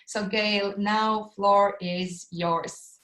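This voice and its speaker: A woman with a Latvian accent gives an eager online sales talk, her lines full of um and uh. Her voice sits at an ordinary middle pitch. She is heard over a video call from a room with noticeable echo. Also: steady tone, extremely slow, fairly bright tone. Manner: enthusiastic, with frequent um and uh fillers. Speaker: webinar presenter.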